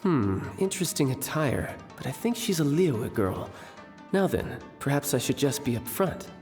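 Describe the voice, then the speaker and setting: Lively, cocky young adventurer. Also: male voice, medium pitch, moderate pace, over music. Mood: cool, playful. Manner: playful, teasing, self-assured. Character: cocky, teasing young male adventurer, smooth-talking and playful. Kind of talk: game cutscene acting.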